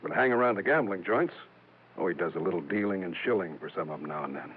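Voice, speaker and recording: A man's voice: sits low, lively intonation, fast, slight background noise. An American actor playing a cautious, suspicious townsman in a 1950s radio drama, speaking earnestly and insistently. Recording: narrow tinny old radio recording.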